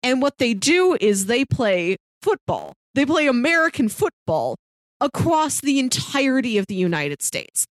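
A woman's voice: high-pitched, big pitch swings, a little slow, no room sound. A woman co-hosting a podcast, casually recommending things in an earnest, enthusiastic way with emphatic lists. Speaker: podcast co-host reviewing shows.

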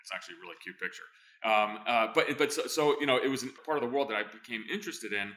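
Fast-talking energetic man, bright voice. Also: mid-range voice. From born in Greece, raised in New Jersey. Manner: fast, energetic storytelling with many fillers and restarts. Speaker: guest, former intelligence officer. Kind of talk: conversational interview answers.

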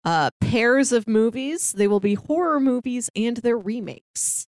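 A woman's voice: fairly high pitch, lively intonation, a little slow, darkish tone, dry recording, clean studio sound. A woman co-hosting a podcast, chatting casually. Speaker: podcast co-host.

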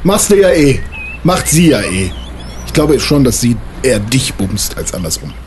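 A man puts on a crude, surly stepson in a tabletop roleplay. He sounds blunt and sneering, with an animated, medium-pitched delivery and faint noise in the background. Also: normal speed, close to an everyday voice. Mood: bargaining, blunt. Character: surly, crude, beer-drinking stepson with a grudge.

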